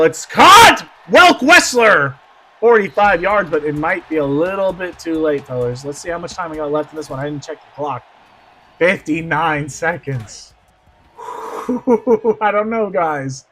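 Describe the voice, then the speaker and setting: Hoarse, bright-voiced man calling football. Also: fairly high pitch, a little slow. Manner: swings from hushed asides to shouted excitement. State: going hoarse. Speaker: play-by-play commentator. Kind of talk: excited simulated football commentary.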